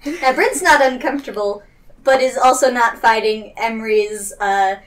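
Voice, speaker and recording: A woman's voice: a little high, moderate pace, low-quality recording. A woman playing a tabletop game, bantering casually with the other players. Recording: faint background noise.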